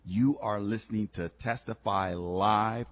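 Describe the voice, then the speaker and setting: A man's voice: on the low side, measured pace. A man hosting a live radio talk show, encouraging callers in a preachy, faith-filled way. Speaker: radio host.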